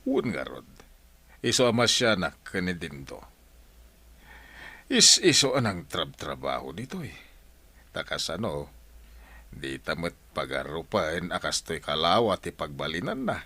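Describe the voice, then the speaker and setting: An exasperated village father played by a man in an Ilocano radio drama. His voice is low and swings expressively, with an Ilocano accent, recorded close and dry. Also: big pitch swings, unhurried, long pauses, bright, forceful tone, no echo, exaggerated voice. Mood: concerned, conversational.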